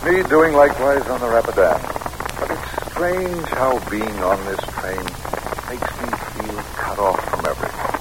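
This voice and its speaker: A man's voice: animated, close to an everyday voice. Guy acting as a tired folksy american president, dry humor, thoughtful, old noisy radio play. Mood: reflective, dry. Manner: reflective, unhurried, drily humorous. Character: weary, wry, folksy American president, middle-aged man. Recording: noisy old radio recording, rumbling background.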